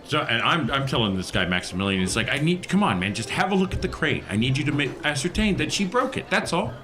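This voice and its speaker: Guy gaming with friends, sharp bright voice, casual role-play talk, bit of noise. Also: medium pitch, sing-song, fast talker. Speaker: tabletop game player.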